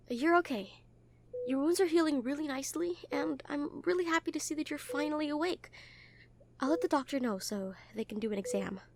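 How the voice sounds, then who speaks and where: A caring, gently teasing nurse acted by a woman in an intimate audio drama. The voice sits high. The delivery is conversational and a little hesitant, always earnest and reassuring toward the patient. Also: a little hiss or hum. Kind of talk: roleplay audio acting, soft comfort talk.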